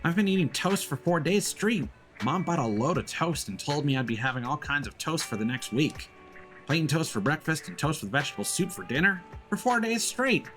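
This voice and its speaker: A man's voice: on the high side, big pitch swings, moderate pace, fairly bright tone, faint music behind. A man voicing a dramatic, hungry schoolkid in a video game, with exaggerated delivery. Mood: exasperated.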